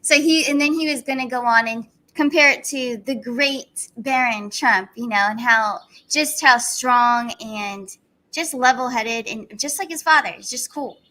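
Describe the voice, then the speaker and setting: Bright-voiced chatty woman host. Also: a little high, unhurried, poor audio. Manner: chatty, rambling, frequent fillers. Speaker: channel host. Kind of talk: casual commentary.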